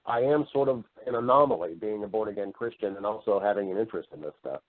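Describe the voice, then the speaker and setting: A man with a fairly low voice chats as a guest on a talk show. He is affable and explanatory, and he sounds narrow and phone-like, as on an internet radio call. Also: quick pace. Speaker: podcast guest, voice-over artist and narrator. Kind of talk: casual interview chat. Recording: muffled band-limited internet radio call.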